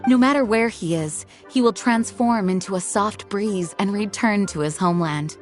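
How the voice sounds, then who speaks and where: A woman's voice: medium pitch, normal speed, lightly acted. A woman voicing a composed, earnest young female knight commander in a video game, speaking formally. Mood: earnest explaining. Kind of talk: video game character acting. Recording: soft music under the voice.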